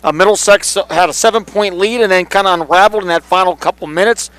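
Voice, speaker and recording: A male color commentator gives off-the-cuff analysis of a school game. His voice is clear and smooth, pitched distinctly high for a man. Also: fast, fairly bright tone.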